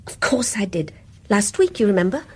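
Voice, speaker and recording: A woman's voice: mid-range voice, lively intonation, normal speed, breathy edge. An actress playing a brooding, obsessive married woman in a radio drama, confiding her grievances in intense, insistent, clipped sentences. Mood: intense, bitter. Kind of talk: radio drama acting with first-person narration.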